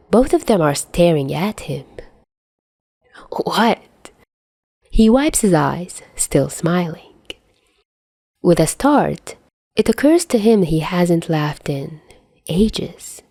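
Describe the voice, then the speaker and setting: A woman reads fan fiction as an expressive narrator. Her voice sits a little low and moves with lively intonation at a slow pace. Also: no room sound, clean studio sound. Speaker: podfic narrator.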